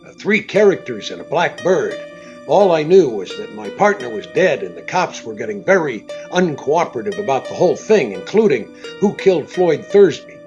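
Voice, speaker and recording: An actor playing a tough, wisecracking, impatient private detective in a radio drama, brusque and sardonic. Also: male voice, a little high, sing-song, moderate pace, dull audio, over music. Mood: narrating. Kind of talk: hardboiled radio drama acting with narration.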